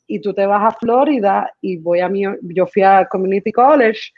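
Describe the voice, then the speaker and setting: A woman's voice: mid-range voice, normal speed, no echo, narrow, tinny audio. A woman whose first language is English, born in Mexico and raised partly in the United States, telling her story as a guest in a casual conversation and slipping English words into her speech. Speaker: guest artist.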